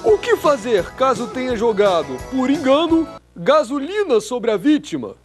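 Deadpan male instructional voice-over. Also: very high voice, moderate pace. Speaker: narrator. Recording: voice-over over loud music.

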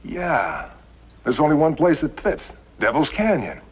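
A man's voice: fairly high pitch, wildly swooping pitch, a little slow, slightly rough, exaggerated voice. An American actor in his thirties playing a wary, doubtful outlaw henchman in a 1950s TV western. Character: wary male outlaw henchman, doubtful but willing accomplice. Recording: dull old 1950s television soundtrack.

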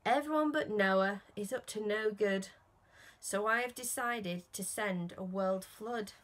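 A woman voicing a calm, authoritative God in a children's rhyming storybook, measured and instructive. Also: mid-range voice, close to an everyday voice. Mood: instructing, serious.

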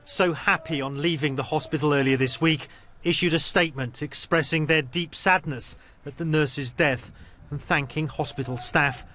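British male news correspondent, higher-pitched voice. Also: fairly bright tone, a little hiss or hum. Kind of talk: scripted news report narration.

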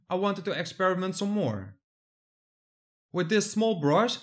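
Young man, high-pitched, from the Netherlands; plain step-by-step voice-over. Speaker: hobby builder narrating his project. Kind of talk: scripted voice-over narration.

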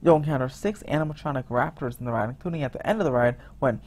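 A man giving a casual voice-over about construction photos, rambling with many restarts and fillers, sounding excited. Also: medium pitch, fast. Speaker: theme park vlogger.

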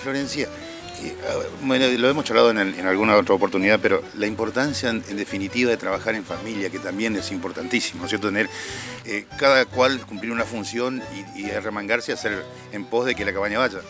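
A man's voice: lowish voice, fast, music in the background. A man with an Argentine accent interviewing guests as a reporter for a rural TV programme. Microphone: handheld microphone.

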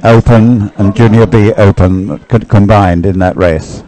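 Casting note: male live race commentator, low mellow voice, rambles and hesitates as he goes. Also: fairly even intonation, unhurried, rough recording. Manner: rambling, hesitant, thinking aloud. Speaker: sports commentator.

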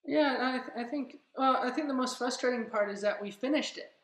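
A woman's voice: high-pitched, fairly quick. A young adult woman chatting casually on a video call, rambling and repeating her phrases. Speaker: club writer and performer. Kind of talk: casual chat. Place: indoors on a group video call. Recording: echoey, noisy video call with clicks.

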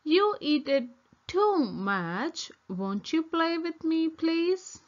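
A woman's voice: extremely high pitch, big pitch swings, drawn-out words, lo-fi sound, pitched above the performer's own voice, full character voice. A woman voicing an insistent, coaxing young male monkey playmate from a children's storybook. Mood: pleading. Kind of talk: children's storybook read-aloud.

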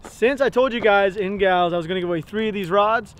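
A young man from Texas with a Texan accent, hosting a casual fishing vlog outdoors, excitable and jokey with stumbling restarts. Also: sits high, fast talker. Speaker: fishing channel host. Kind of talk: casual vlog talk to camera. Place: outdoors on a rural gravel lot.

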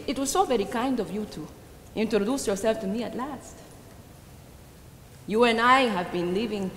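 A woman's voice: medium pitch, close to an everyday voice. A woman performing a theatrical monologue as an old, proud and sorrowful Dominican immigrant widow with a Dominican Spanish accent, confiding her story hesitantly with emphatic swells. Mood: shy, hospitable. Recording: roomy reverberant hall with background noise.

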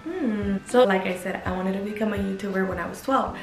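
A woman's voice: medium pitch, brisk, creaky. Brief: young woman vlogger, chatty and upbeat, recorded in an echoey bedroom with faint background music. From Guatemala. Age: 20s. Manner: chatty, upbeat, lots of "like" and "you know". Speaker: YouTuber. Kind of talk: casual Q&A vlog. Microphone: camera at medium distance.

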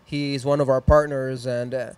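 A man's voice: medium pitch, flat delivery, moderate pace, clean tone, no echo. A man in his thirties with a Mexican accent hosting a live music stream in a small studio, chatting loosely and plugging sponsors into a handheld mic. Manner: rambling, upbeat, plugs sponsors and socials. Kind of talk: casual chat and shout-outs. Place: small decorated studio room, indoors.

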